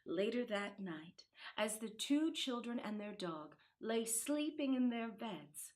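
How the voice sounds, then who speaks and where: Slow, animated woman reading a children's story. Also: a little high.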